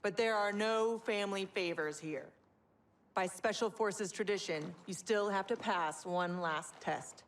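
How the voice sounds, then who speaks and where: An actress playing a stern middle-aged general in a video game, with an American accent and a clipped, authoritative, formal delivery. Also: female voice, medium pitch, measured pace, slight background noise, natural voice. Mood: formal, commanding. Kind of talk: dramatic video game acting.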